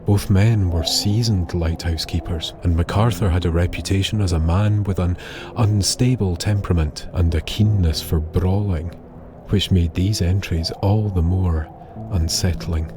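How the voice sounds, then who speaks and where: A man narrating an eerie scripted tale in a solemn, measured, dramatic way with pauses. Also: low-pitched, steady tone. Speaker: storyteller, narrator. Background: music.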